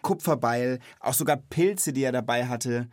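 A man's voice: mid-range voice, moderate pace, no room sound, clean studio sound. A man hosting a children's knowledge podcast, chatting and explaining in a casual, enthusiastic, colloquial way.

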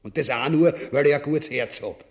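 A man's voice: on the high side, moderate pace, natural voice. An actor playing a gluttonous, cowardly usurper king in a grotesque comedy, blustering coarsely in Austrian dialect. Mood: scornful, blustering. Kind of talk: grotesque comedy radio play acting.

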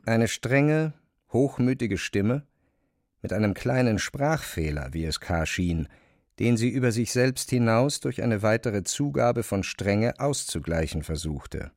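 A man narrates a literary audio drama from a script. His voice is fairly low, and the recording is dry, with no room around it. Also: lively intonation, unhurried, dry recording.

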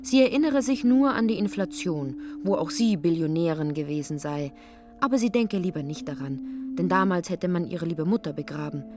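A woman's voice: lowish voice, lively intonation, moderate pace, over music. A woman reading literary narration expressively, slipping into characters' lines. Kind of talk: scripted literary narration for radio.

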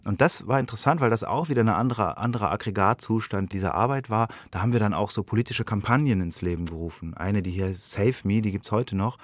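Low-voiced man reflecting in a dry studio. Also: fast talker, few pauses, no echo. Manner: thoughtful, explanatory, self-interrupting. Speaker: interview guest, dramaturg. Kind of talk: reflective spoken interview. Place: radio studio.

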